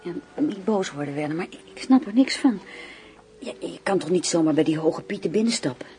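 An actress playing a flirtatious, inquisitive lover, coaxing and playfully naive in an intimate radio play. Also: female voice, on the low side, expressive, a little fast, mellow, faint music behind, natural voice. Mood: curious, coaxing. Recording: faint background noise.

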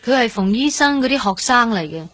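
An earnest, stubbornly independent young patient. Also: female voice, a little high, animated, a little fast, fairly bright tone. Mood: mildly defiant. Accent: Hong Kong Cantonese. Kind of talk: radio drama acting and first-person narration.

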